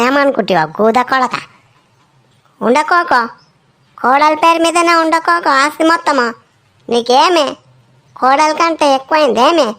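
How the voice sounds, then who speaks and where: A high-voiced, quarrelsome village relative from a homemade cartoon comedy, acted by a woman. The speech is colloquial and confrontational, full of scolding. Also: fairly bright tone. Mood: indignant. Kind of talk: amateur cartoon comedy dialogue in Telugu.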